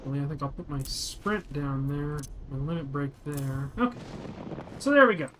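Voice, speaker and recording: A man's voice: fairly high pitch, lively intonation, moderate pace, noisy background. A man giving casual, offhand let's-play commentary as he describes a game, thinking aloud and swearing freely. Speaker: game streamer describing play for blind viewers.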